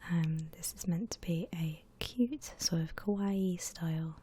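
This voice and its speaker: Whispering woman, slow ASMR drawing tutorial. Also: fairly low pitch. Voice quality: breathy whisper. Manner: gentle, unhurried step-by-step explaining.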